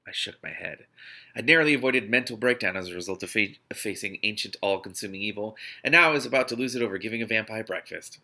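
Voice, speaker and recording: A man's voice: lowish voice, quick pace, gravelly, bright, forceful tone. A man reading a novel aloud expressively, breaking off into spontaneous amused and exasperated asides as host. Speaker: host of an online read-aloud series.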